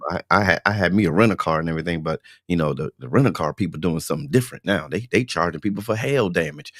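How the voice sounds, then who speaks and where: A man co-hosting a live podcast, excitable and casual, a bit stuffy from a sinus cold. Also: sits low, quick pace, dry recording, studio quality. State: stuffy nose. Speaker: podcast co-host.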